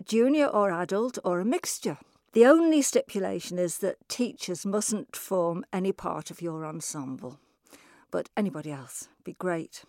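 A Manx woman, a festival chairperson, talks through the new entry classes in a brisk, informative way with a wry sense of humour. Her pitch sits in the middle of the range. The recording is dry and close. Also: darkish tone, no room sound. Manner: brisk, informative, lightly humorous. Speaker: chairperson of a music, speech and drama festival. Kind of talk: interview answers.

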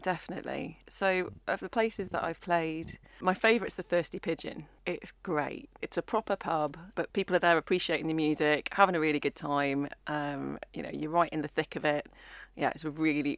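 A young woman with a gravelly, low voice chats modestly about her music. She restarts her sentences often. Her voice is recorded dry and close in a studio. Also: no room sound. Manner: modest, rambling, with frequent restarts and laughs. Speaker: singer and musician guest. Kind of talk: casual interview chat.

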